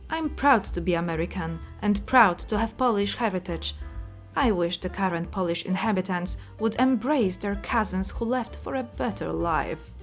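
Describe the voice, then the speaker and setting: Woman reading comments with dry sarcasm. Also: medium pitch. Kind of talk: reading viewer comments aloud.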